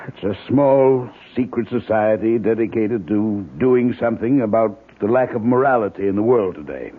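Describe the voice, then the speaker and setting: Man playing a husky, guarded radio-drama tycoon. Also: lowish voice, moderate pace, phone-quality sound, close to an everyday voice. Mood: resigned, reluctant. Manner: guarded, reluctant. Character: frightened, evasive millionaire businessman and father. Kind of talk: old-time radio acting. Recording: thin, band-limited old radio recording.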